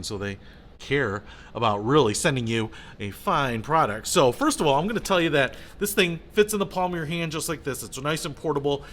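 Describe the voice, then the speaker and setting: Guy doing a casual product review, kinda high voice, bright and upbeat, chatty wording. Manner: enthusiastic, colloquial.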